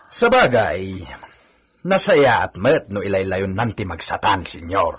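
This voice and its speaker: A man's voice: low voice, sing-song, unhurried. An actor with an Ilocano Filipino accent playing a deferential, hesitant henchman in a comedy radio drama. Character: servile, nervous henchman of a rich don. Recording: noisy track with clicks, insect chirps.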